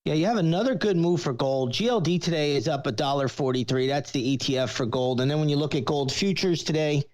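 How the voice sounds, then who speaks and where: A man's voice: on the high side, fast, a little mellow. A man speaking as an analyst on a show, giving conversational, explanatory commentary. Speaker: market analyst, regular guest.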